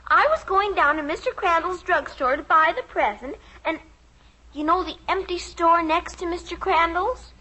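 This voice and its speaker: A woman's voice: very high-pitched, moderate pace, bright, forceful tone, low-quality recording. A woman acting a plaintive, earnest little American girl who fibs, in a 1950s radio sitcom. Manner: plaintive, childlike. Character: little girl, earnest, evasive fibbing daughter. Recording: old radio recording, faint noise.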